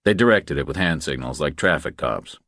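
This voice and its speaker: A man's voice: extremely low pitch, animated, fairly quick, a bit bright, dry recording, clean studio sound. A man reading a thriller audiobook as scripted narration.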